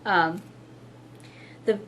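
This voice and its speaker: Young woman w/ a husky hoarse voice, chatty knitting host, faint room noise behind. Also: very slow. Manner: chatty, apologetic, with frequent fillers and little laughs. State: hoarse, losing her voice. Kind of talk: casual chat to camera.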